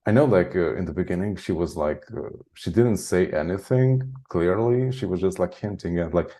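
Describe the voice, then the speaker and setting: A man with a deep voice, chatting casually as an interview guest, rambling with lots of "like" and frequent chuckles. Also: moderate pace, dark tone. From Hungary. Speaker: guest, spiritual channeler.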